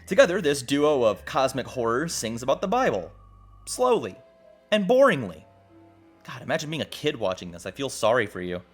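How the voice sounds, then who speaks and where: A man's voice: medium pitch, big pitch swings, normal speed, breathy edge. A man narrating a video essay as host in a wry, exclamatory, casual style with some swearing. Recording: music behind the voice. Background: background music.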